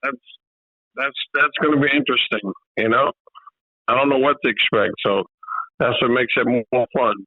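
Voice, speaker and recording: A man being interviewed as a boxing trainer over a video call, talking in a relaxed way with many "you know"s. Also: medium pitch, moderate pace, pauses often, no echo. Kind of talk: casual remote interview. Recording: tinny phone-like call audio.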